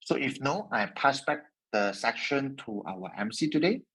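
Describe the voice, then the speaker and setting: A man's voice: medium pitch, animated, normal speed, fairly bright tone, breathy edge. A man closing a guest talk over a video call, speaking directly to viewers. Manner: wrapping up, addresses viewers directly. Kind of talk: online seminar talk.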